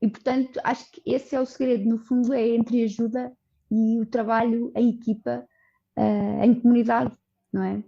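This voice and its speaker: Portuguese-accented woman guest, mellow, earnest explainer, slightly dull audio. Podcast interview. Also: fairly high pitch, little pitch movement, moderate pace, vocal fry. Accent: European Portuguese. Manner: earnest, explanatory, with frequent restarts and "portanto". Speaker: social coordinator of a community project, guest.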